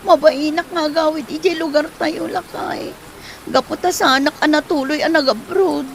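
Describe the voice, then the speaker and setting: A woman's voice: high-pitched, fairly even intonation, moderate pace. A woman acting an ashamed, humbled wife who explains herself apologetically in an Ilocano comedy drama, with an Ilocano accent. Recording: faint background noise.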